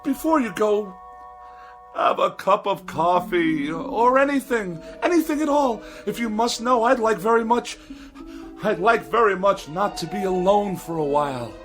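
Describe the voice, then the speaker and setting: A man's voice: high voice, expressive, a little slow, fairly bright tone, faint music behind. A man playing a pompous, irritable and lonely magazine critic with haughty, precise diction in a 1960s television drama. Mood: anxious, desperate. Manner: haughty, ornate, precise wording.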